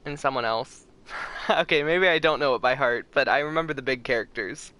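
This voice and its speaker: A man's voice: mid-range voice, brisk, pushed and bright, clean tone, poor audio. A man hosting a casual game livestream, chatting informally with his viewers. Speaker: livestream host. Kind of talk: casual game stream chat.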